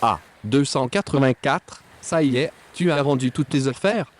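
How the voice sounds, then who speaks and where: A man's voice: mid-range voice, moderate pace, natural voice. A man playing a curt, impatient guard in an audio drama, with a brusque, commanding manner. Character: curt, impatient male guard hurrying prisoners along.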